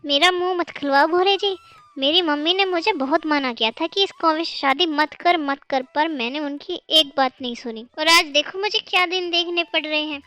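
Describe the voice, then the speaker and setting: A woman's voice: sits high, normal speed, pushed and bright. A woman voicing an exasperated, nagging crow wife in a children's cartoon, complaining dramatically. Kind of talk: Hindi cartoon voice acting for children.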